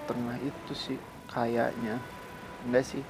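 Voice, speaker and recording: An Indonesian man with a creaky, mid-pitched voice comments casually on a game. He half mutters to himself while game music plays clearly behind him. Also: little pitch movement, a little slow, rough recording. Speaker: gaming video host. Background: game music and sounds.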